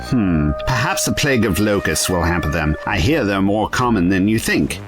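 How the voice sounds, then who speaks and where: A man playing a level-headed, wry time-travelling adventurer in an audio drama, talking in a measured, musing, wordy way. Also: low-pitched, very animated, music in the background. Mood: wry, composed.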